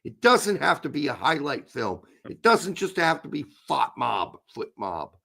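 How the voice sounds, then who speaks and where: A man co-hosting a casual podcast chat, hoarse with his voice going, blunt and opinionated with some swearing. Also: a little high, big pitch swings, measured pace, sharp tone. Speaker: co-host.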